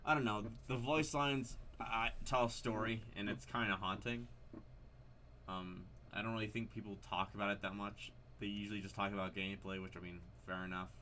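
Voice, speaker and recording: A man's voice: on the low side, fairly even intonation, brisk, pushed and bright, slight background noise. A man giving casual, unscripted game commentary, hesitant and rambling as he thinks aloud. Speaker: video creator commenting on a horror game.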